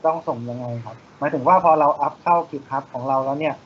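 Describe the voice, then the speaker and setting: A man from Thailand, a student politely asking a question in an online class. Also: very fast. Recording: muffled call with low rumbling noise.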